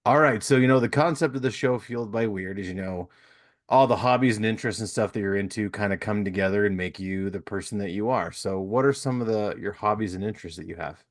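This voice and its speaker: Male podcast host, lowish voice, dry close sound, easygoing and supportive. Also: fast talker, no room sound. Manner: friendly, encouraging, laughs easily. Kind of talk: casual interview chat.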